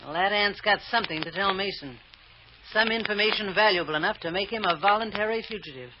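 A woman acting a cold, domineering villainess in an old radio drama. She gives orders sharply and sneers at her accomplice with contempt. Her voice sits low for a woman, on a muffled recording with faint noise. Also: fast talker, close to an everyday voice. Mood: pressing.

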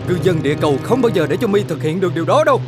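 Rapid-fire, defiant cartoon hero. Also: male voice, high-pitched, expressive. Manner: indignant, emphatic. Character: defiant, principled grown man resisting a villain. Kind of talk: children's cartoon voice acting. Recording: loud music under the voice.

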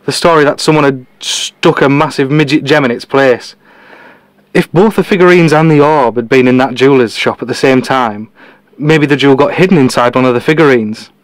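Man playing a deadpan, cocky detective, dry sound. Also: medium pitch, dry recording, natural voice, low-quality recording. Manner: deadpan, matter-of-fact. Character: cocky, teasing male detective genius. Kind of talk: podcast-style audio drama dialogue.

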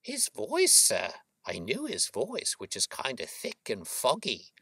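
A woman's voice: rumbling low, huge pitch swings, slow pace, a bit bright, breathy edge, no room sound, voice pushed up, exaggerated voice. A man voicing a worried, talkative working-class London boatman's wife, chatty and deferential, in an audiobook story. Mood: confiding.

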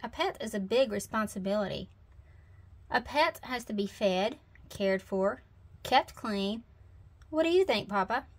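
A woman's voice: mid-range voice, expressive, measured pace, pauses often. Storybook mama bear, read by a woman: sensible, firm, careful explainer. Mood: firm, cautious. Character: sensible, cautious mother bear.

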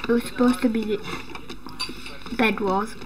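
Brief: hoarse woman gaming host, unhurried rambling delivery, higher voice, noisy recording with clicking behind her. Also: fairly even intonation. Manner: rambling, with startled high exclamations. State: losing her voice. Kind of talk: casual gameplay commentary.

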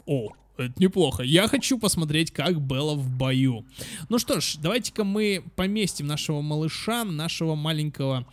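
A man's voice: fairly high pitch, expressive, normal speed. A young man giving casual let's play commentary for children, chatty, thinking aloud and repeating words. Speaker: gaming channel host. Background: game music.

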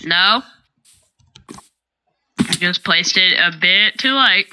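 A woman's voice: fairly low pitch, takes it slowly, pushed and bright, smooth, poor audio. A woman playing a block-building game with a friend, answering in short, stubborn, dry replies. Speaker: gamer. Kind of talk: casual let's play chat.

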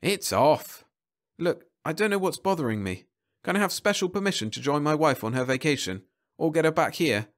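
A man's voice: fairly high pitch, lively intonation, moderate pace, voice pushed up, close to an everyday voice. A man voicing an anxious, henpecked husband with an agitated, complaining manner in a story. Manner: agitated, aggrieved.

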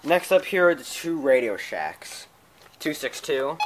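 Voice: mid-range voice, sing-song, measured pace, background noise. A person hosting a home video in a casual show-and-tell style, recorded on a handheld camera in a small room. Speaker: amateur video host showing a radio collection. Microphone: handheld camera close to the speaker.

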